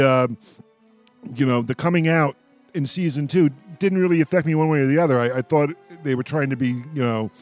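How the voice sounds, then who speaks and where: A man hosting a casual radio roundtable, giving opinions in a halting way and repeating words as he goes. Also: fairly high pitch, a little mellow. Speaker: roundtable host on a radio show. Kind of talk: casual panel discussion.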